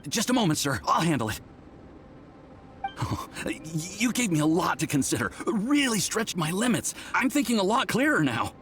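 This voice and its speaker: A man's voice: high voice, sing-song, normal speed, a bit bright, soft background music. A man playing an earnest, deferential young male research assistant in a video game. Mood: flustered, eager. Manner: earnest, deferential, eager to please. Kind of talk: video game character dialogue.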